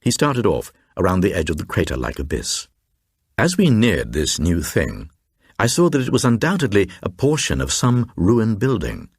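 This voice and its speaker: Very deep, husky storyteller of dread. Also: male voice, huge pitch swings, no room sound, clean studio sound, full character voice. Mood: uneasy, awed. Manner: deliberate, suspenseful storytelling. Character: grave male holidaymaker recounting an eerie tale of dread. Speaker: narrator. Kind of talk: dramatised first-person reading of a supernatural horror tale for radio.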